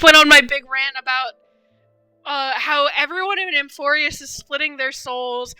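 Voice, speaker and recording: A woman streaming a game, giving casual, rambling live commentary with animated fan reactions. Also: high-pitched, no room sound, low-quality recording.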